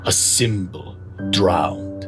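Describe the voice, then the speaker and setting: A man playing a wise old mystic master in an audio drama, speaking in cryptic, poetic phrases with a creaky voice. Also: deep voice, music in the background. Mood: ominous. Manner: slow, cryptic, poetic speech. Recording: clearly noisy recording.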